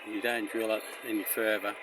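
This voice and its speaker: Low, flat-toned Australian man, noisy background. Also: a little fast. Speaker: vlogger showing a DIY car radio install. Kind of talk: casual hands-on walkthrough. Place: in and around a car under a carport. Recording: noisy handheld recording on location.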